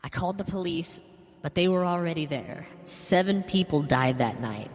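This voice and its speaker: A man voicing a bitter, grieving old mother in a horror story, wounded and resentful, confessing haltingly. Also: a little high, animated, pitched above the performer's own voice, lightly acted. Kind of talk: dramatic horror story reading. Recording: long hall reverb. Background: music.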